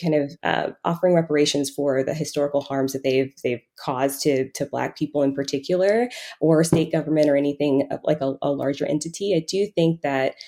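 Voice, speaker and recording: A woman giving reflective answers as an interview guest, speaking in a halting, conversational way as she works out her thoughts. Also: sits low, mellow. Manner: halting, thinking aloud, frequent hedging with "kind of" and "like". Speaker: podcast guest, financial coach.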